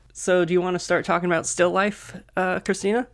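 A man co-hosting a casual podcast, chatty with lots of fillers, soft and a little husky as he comes down with a cold. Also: high voice, steady tone, moderate pace. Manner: chatty, laughs often, many fillers. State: coming down with a cold. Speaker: podcast co-host.

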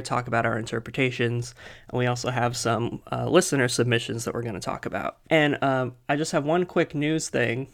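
Guy coming down w a cold, soft kinda husky voice, chatty podcast host, lots of ums. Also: medium pitch. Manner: chatty, laughs often, many fillers. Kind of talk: casual podcast chat.

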